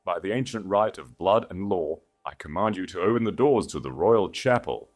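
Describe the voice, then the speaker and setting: Fantasy audiobook heroine voiced by a man, young, resolute, authoritative, delivered very low. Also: expressive. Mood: commanding. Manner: declarative, commanding, measured. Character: resolute young rightful princess with regal authority.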